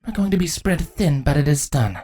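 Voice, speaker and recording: A woman's voice: sits low, lively intonation, brisk, mellow, pitched above the performer's own voice. A woman voicing a commanding changeling queen in a fantasy story, stern, grave and authoritative.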